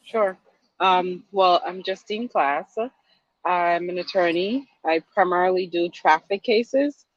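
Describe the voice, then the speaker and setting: A woman from Guyana, a guest attorney answering interview questions in a hesitant, halting way with many ums. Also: on the low side, no echo. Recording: dry, dull lo-fi audio.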